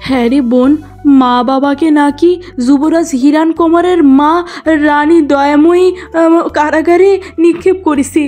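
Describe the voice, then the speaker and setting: A woman's voice: high-pitched, steady tone, measured pace, darkish tone, faint music behind, voice pushed up. A woman voicing a frightened, guilt-ridden young elder sister in a cartoon fairy tale. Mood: tearful, pleading.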